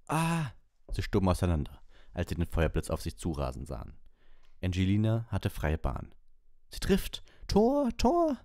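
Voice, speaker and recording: A man's voice: deep voice, a little mellow, no room sound. A man who hosts an audiobook livestream, reading and talking close to the microphone. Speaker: audiobook reader and livestream host. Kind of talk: story narration and casual livestream chat.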